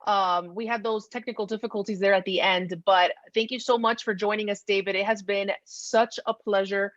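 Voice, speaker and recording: A woman's voice: medium pitch, steady tone, moderate pace, no echo. A woman hosting a casual podcast chat, talking in an effusive, chatty way. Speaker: podcast host. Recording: dull, lo-fi close dry audio.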